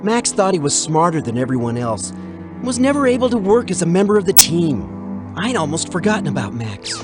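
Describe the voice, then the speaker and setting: A woman's voice: lowish voice, sing-song, normal speed, music in the background. A woman voicing a serious young adventurer in a cartoon computer game, earnest and dramatic. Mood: concerned.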